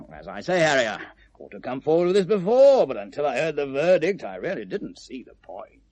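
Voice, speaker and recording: Insinuating British shopkeeper, gossipy and pointed, husky voice with animated swings, 1970s radio play. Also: male voice, fairly high pitch, normal speed. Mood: obliging. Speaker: actor.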